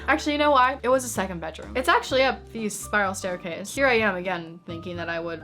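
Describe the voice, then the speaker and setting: A woman's voice: medium pitch, quick pace, fairly bright tone, faint music behind. A young woman from the San Diego area, narrating an apartment tour in a bubbly, chatty way with lots of fillers. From San Diego area, United States. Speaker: vlogger.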